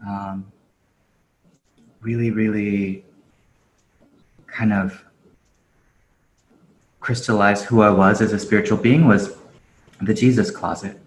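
A man's voice: fairly low pitch, steady tone, slow pace, long pauses, a little hiss or hum. A man, a podcast guest, talking casually and reflectively with many fillers and easy laughs; he grew up in Japan. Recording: roomy echo.

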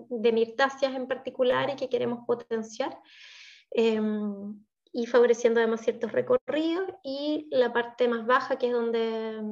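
A woman giving a talk on wetlands, thoughtful, with many restarts and fillers, in distant, dull lo-fi audio. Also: on the high side. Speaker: invited speaker on wetlands. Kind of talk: presentation in an online talk.

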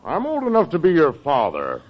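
A man playing a cranky, cocky little-person singer with gruff slangy wisecracks in a 1940s radio comedy. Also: a little high, huge pitch swings, moderate pace. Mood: irritated, cocky. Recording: muffled, noisy old-time radio recording.

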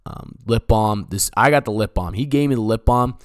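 A man's voice: fairly low pitch, normal speed, no echo, studio quality. A man hosting a casual solo comedy podcast, talking in a rambling, jokey, sweary way like a stand-up. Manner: rambling, profane, jokey self-interrupting delivery. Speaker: podcast host.

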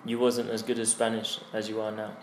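A man's voice: fairly low pitch, completely flat delivery, fairly quick. A man interviewing a traveller, asking curious follow-up questions in a casual chat. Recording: echoey room with faint noise.

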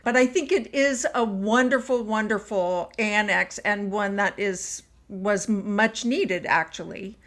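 A woman's voice: medium pitch, slow pace, few pauses, fairly bright tone. A woman interviewing on a podcast in a conversational, supportive and appreciative way.

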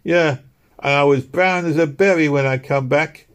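Man voicing a chatty young Cockney woman, pitched high. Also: normal speed, close to an everyday voice. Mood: wistful, delighted. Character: young working-class London Irish woman, homeless, chatty, easily delighted. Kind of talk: audiobook character dialogue.

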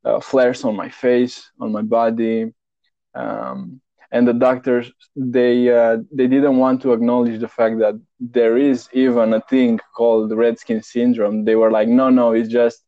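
A man's voice: medium pitch, level tone, unhurried, vocal fry, dry recording, muffled recording. A man in his twenties from Romania, a guest talking frankly and plainly in a casual interview, often repeating words while he thinks. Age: 20s.

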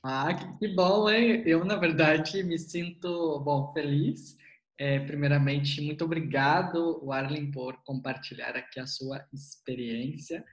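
A man interviewing a student about an online language course. He talks in a casual, animated way, exclaims often and repeats key words. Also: fairly high pitch, slow pace, echoey room, poor audio.

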